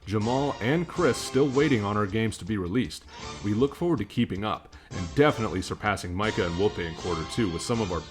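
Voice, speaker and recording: Low-voiced man over a music bed. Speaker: podcast co-host reading a fantasy league update. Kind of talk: mock sports-news segment and casual banter.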